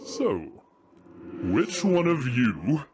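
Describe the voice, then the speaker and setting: A man playing a massive regal male dragon king, a doting but stern father who speaks in a commanding, deliberate and formal way in a fantasy audio drama. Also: mid-range voice, wildly swooping pitch, noisy background, full character voice. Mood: stern, probing. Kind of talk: fantasy audio roleplay acting.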